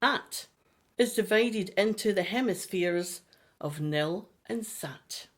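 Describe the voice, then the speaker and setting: A woman playing a gentle, idealistic settler in an audio drama, explaining things earnestly. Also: medium pitch, lively intonation, slow pace.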